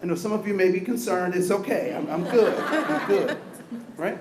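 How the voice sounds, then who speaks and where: A man giving an energetic, interactive diversity training talk, asking the audience questions. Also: sits high, normal speed, reverberant, background noise. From United States.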